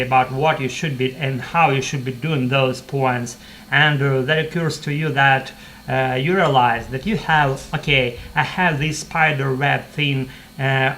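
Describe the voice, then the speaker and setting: A man whose first language is Russian, talking at length in halting English from his armchair at home, with many fillers and restarts. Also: medium pitch, a bit bright, a little hiss or hum. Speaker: vlogger practicing English. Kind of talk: rambling spoken English practice monologue.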